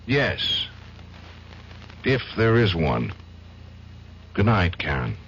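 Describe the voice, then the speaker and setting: An actor playing a troubled young defense lawyer in a radio drama, hesitant and stammering, emotionally charged. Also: male voice, low-pitched, big pitch swings, drawn-out words, heavily acted. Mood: uneasy. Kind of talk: 1970s radio drama acting. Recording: dull old radio broadcast, faint hiss.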